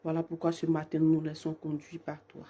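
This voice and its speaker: Woman prayer leader, hoarse from a cold; dark timbre, flat fervent repetitions. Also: deep voice, brisk. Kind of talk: live-streamed morning prayer.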